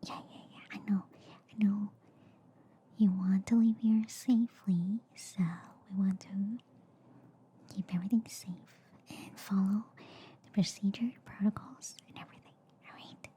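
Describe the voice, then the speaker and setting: A woman voicing a quirky, reassuring alien girl doctor in a soft ASMR roleplay, mostly in a hushed, breathy whisper with a soothing, chatty manner. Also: mid-range voice, fairly even intonation, a little hiss or hum, close to an everyday voice. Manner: slow, chatty, soothing, repeating filler words. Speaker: ASMR performer.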